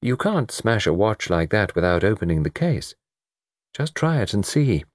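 Man voicing a dreamy, knowing storybook gentleman, lightly husky. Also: lowish voice, sing-song, no room sound, clean studio sound. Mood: assured. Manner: dreamy, measured explaining. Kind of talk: audiobook character dialogue.

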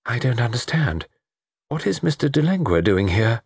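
A man voicing an anguished, refined upper-class lady in a storybook mystery, speaking haltingly. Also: mid-range voice, very animated, a little mellow, husky, no room sound, studio quality, voice pushed up, heavily acted. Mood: bewildered. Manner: halting, breaking off mid-phrase.

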